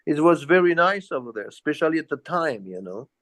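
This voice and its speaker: A man's voice: a little high, very animated, a little fast, fairly bright tone. An older man from the south of France with a French accent, chatting as an interview guest in a rambling, repetitive way. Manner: rambling, repetitive, peppered with "you know". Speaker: interview guest, jazz bassist. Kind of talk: casual reminiscing in an interview.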